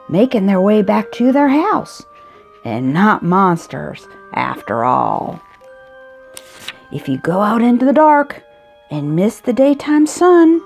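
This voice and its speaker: A woman reading a children's picture book aloud, expressively, with drawn-out emphasis on key words. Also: on the high side, darkish tone. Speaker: storybook reader. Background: music.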